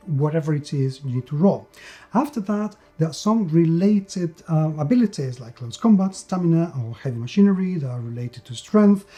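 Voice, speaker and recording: A man's voice: fairly high pitch, warm tone. A man hosting a tabletop game review, speaking enthusiastically and stressing words by repeating them. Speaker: host and reviewer of tabletop role-playing games. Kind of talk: scripted-sounding video review.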